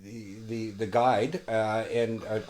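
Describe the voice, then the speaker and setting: A man hosting a casual interview, enthusiastic and halting, often repeating his words as he goes. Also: fairly low pitch, fairly even intonation, darkish tone. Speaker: interview show host.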